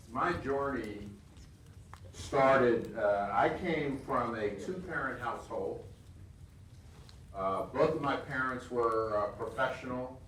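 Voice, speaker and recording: An older man with a big voice giving an informal, playful talk to students in a deliberate, conversational way. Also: mid-range voice. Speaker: guest speaker, business executive. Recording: echoey noisy room, distant mic.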